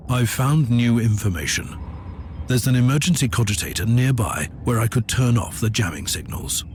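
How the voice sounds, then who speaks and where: A man playing a grave, devout inquisitor psyker in a video game, speaking in a solemn, formal, measured way as if dictating a log. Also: medium pitch, lively intonation, noisy background, natural voice. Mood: grim, businesslike. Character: grave, devout male inquisitor psyker investigating a derelict fortress. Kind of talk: scripted game dialogue and audio log narration.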